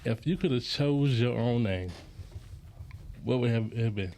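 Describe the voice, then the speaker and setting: A man co-hosting a podcast in casual chat, relaxed and asking questions. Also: medium pitch, measured pace, slight background noise. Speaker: podcast co-host.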